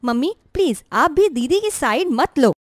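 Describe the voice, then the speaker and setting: A woman's voice: high-pitched, very animated, normal speed, no room sound, studio quality. A woman voicing a vain, rude young college girl, a snappish and scornful younger sister in a story. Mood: petulant. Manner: snappish, whiny, haughty.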